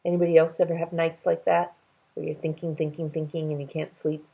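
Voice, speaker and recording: A woman reading a children's story aloud in an expressive, unhurried way, as if to young listeners. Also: low voice, vocal fry, slightly rough, muffled recording. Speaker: read-aloud reader.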